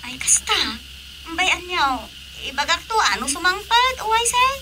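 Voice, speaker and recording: A woman's voice: extremely high pitch, big pitch swings, moderate pace, pushed and bright, a little hiss or hum, full character voice, poor audio. A woman acting an anxious, nagging fisherman's wife in an Ilocano-accented radio drama comedy, pleading and scolding. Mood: polite, uneasy. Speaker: voice actor.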